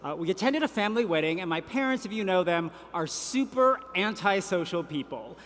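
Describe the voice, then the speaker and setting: A man giving a prepared keynote speech on a conference stage, telling a story at a measured pace with deliberate pauses. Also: high-pitched, expressive, a bit bright. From Vietnam. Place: large conference hall. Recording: reverberant hall, faint ambience.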